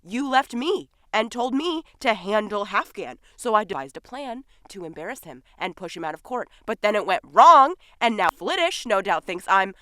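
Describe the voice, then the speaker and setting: A woman's voice: medium pitch, big pitch swings, moderate pace, hardly any pauses, fairly bright tone, no room sound. A woman acting in an audio drama as a flustered, defensive human man posing as a fairy king, with a theatrical manner. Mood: frantic, exasperated.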